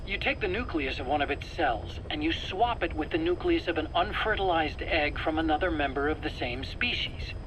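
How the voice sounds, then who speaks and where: An actor playing a nervous, eager scientist under interrogation in a video game, self-justifying in an earnest, explanatory, pleading way. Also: male voice, on the high side, expressive, moderate pace, hardly any pauses, lightly acted. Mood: secretive, insistent. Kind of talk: dramatic video game voice acting. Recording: faint background noise, cassette tape interrogation.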